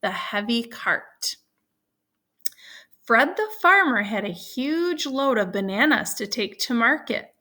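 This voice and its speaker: A woman teaching young children, reading a story aloud and explaining it in a deliberate, instructional way. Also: fairly high pitch, bright, forceful tone.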